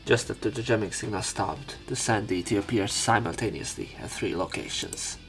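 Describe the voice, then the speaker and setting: A man's voice: lowish voice, normal speed. A man reading a visual novel aloud as a let's play host, with some commentary of his own and the odd stumble over words. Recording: faint music behind. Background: soft game music.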